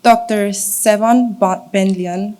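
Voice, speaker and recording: A woman's voice: mid-range voice, steady tone, slow. A young adult woman giving a formal welcome speech as a student host at an event. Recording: faint background noise, clicks.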